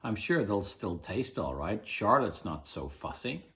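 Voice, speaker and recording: A man doing a rough, cruel pirate crew member. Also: lowish voice, very animated, measured pace, bright, forceful tone, heavily acted. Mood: eager.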